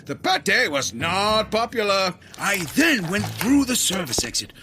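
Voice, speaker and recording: A man tells a comic story in a high, lively voice, taking his time. His manner is bumbling and blustering. Music plays underneath. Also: a little slow. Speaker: narrator and lead character. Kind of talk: comedic audio drama narration. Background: background music.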